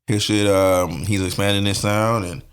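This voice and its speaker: Low-voiced man chatting in slang. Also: fairly even intonation, moderate pace, smooth. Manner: laid-back, heavy slang and swearing. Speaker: podcast guest co-host, music producer and engineer. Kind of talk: casual slangy podcast chat.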